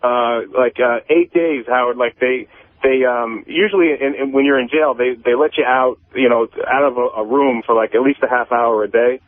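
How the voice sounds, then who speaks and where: A man's voice: mid-range voice, steady tone, normal speed, dry recording. A man calling in to a radio talk show over the phone, rambling casually and personally, often stammering and repeating his words. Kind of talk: casual confessional chat. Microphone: telephone. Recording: tinny, band-limited phone line.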